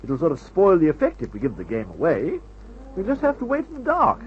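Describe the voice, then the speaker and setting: A man's voice: fairly high pitch, wildly swooping pitch, brisk. An actor playing a jittery but breezy upper-class English airman in an old radio adventure serial, spluttering jaunty slang. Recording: dull lo-fi old radio recording.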